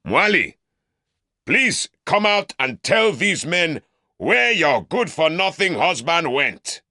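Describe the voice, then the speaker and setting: A man voicing an older uncle who shouts mockingly to a crowd, pitched well above the narrator's own voice. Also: extremely slow, gravelly, no echo, clean studio sound, heavily acted. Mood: scornful. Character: older man, scheming, loud and scornful.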